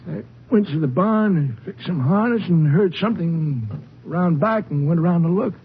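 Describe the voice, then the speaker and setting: Husky, halting elderly rancher. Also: male voice, sits high, lively intonation, heavily acted. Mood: shaken, halting. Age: older. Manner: halting, stammering. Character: elderly Texas rancher, beaten, shaken crime victim. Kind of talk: old-time radio drama acting. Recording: muffled, phone-like old radio recording.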